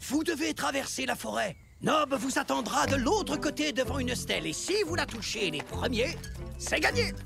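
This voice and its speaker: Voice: high-pitched, sing-song, normal speed, vocal fry, full character voice. A performer voicing a cartoon games organizer who proclaims rules to children in a theatrical, rousing manner. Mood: instructive. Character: adult organizer announcing children's friendship games, solemn and rousing. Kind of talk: cartoon voice acting in French dub. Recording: music behind the voice.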